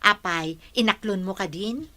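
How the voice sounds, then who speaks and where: A woman's voice: mid-range voice, unhurried, sharp tone. An actress with an Ilocano accent playing a teasing, fussing middle-aged mother in a radio drama.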